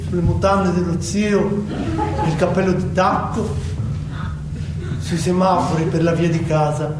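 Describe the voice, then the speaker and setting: An actor on stage playing a brash, excitable, foul-mouthed small-town lad with declamatory delivery. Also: male voice, fairly high pitch, distant mic, natural voice. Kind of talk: theatrical stage acting in colloquial Italian. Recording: echoey stage from afar, noisy.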